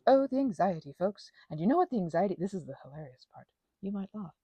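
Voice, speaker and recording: An anxious, panicky woman rambling casually as a vlog host, halting and drifting off on tangents, with playful theatrical flourishes. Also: mid-range voice, big pitch swings, warm tone, breathy edge, dry recording. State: unwell. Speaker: ASMR channel host.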